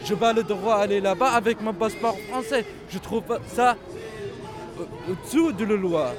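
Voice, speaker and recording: A man from Palestine, speaking French haltingly and passionately in a street interview, often raising his voice. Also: sits high, music in the background. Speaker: protester interviewed at a rally. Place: outdoor street demonstration. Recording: crowd noise at a street protest. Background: crowd of protesters.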